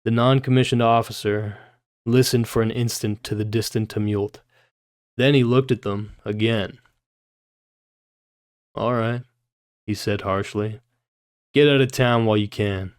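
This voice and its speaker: A man's voice: on the low side, fairly even intonation, measured pace, pauses often, no room sound, clean studio sound. A man livestreaming, reading a novel aloud and breaking off into informal, puzzled asides with some swearing.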